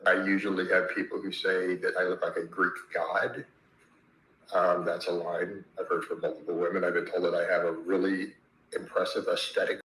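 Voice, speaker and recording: A man's voice: low voice, flat delivery, moderate pace, fairly bright tone. A man in his forties talking casually to camera in a self-assured, boastful way. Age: 40s. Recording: echoey room.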